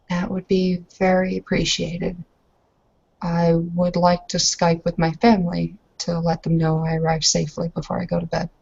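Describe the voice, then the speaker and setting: A woman's voice: lowish voice, creaky, a little mellow, slightly rough, dull audio, full character voice. A woman playing a proud, tired young werewolf bride-to-be in a tabletop game, speaking formally and carefully with hesitant phrasing.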